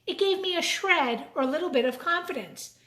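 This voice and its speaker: A woman's voice: extremely high pitch, animated, normal speed, sharp tone. A woman reading a picture book aloud to children, expressively, with simple asides to her young listeners. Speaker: storytime reader, teacher. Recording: roomy, echoing room sound.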